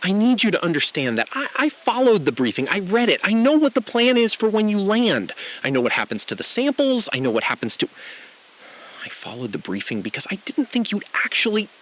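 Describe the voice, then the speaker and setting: A man playing an anxious, guilt-ridden ground controller in an audio drama, halting and pleading, often trailing off mid-sentence. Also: sits high, sing-song, a bit bright, exaggerated voice, rough recording. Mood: guilty, pleading. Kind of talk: scripted audio drama, dramatic phone-call acting.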